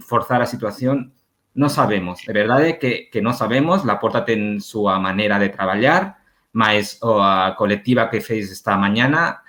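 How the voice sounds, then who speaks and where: A man's voice: fairly low pitch, a bit bright. Catalan man in a remote panel chat. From Barcelona, Spain. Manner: mixes Spanish words into his Portuguese, halting restarts. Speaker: guest journalist. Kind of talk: casual panel discussion. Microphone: remote video call.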